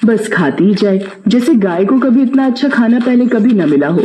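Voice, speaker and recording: A man's voice: very high voice, expressive, moderate pace, crowd noise. A man expressively narrating a scripted children's story, with a roomy echo on his voice.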